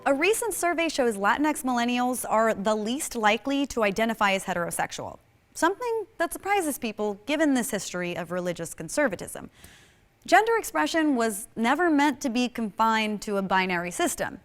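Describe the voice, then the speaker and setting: A woman hosting a show reads scripted narration. Her voice sits a little on the high side. She is sassy and wry, with a dry, knowing bite. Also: big pitch swings, brisk. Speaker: host.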